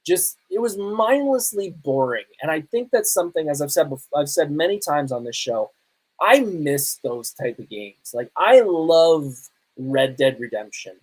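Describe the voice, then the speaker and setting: A man's voice: fairly high pitch, lively intonation, a little slow, rough recording. A man, chatting casually and enthusiastically as a solo podcast host. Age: 30s. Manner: conversational, enthusiastic, emphatic. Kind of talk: casual solo podcast chat on pop culture and games.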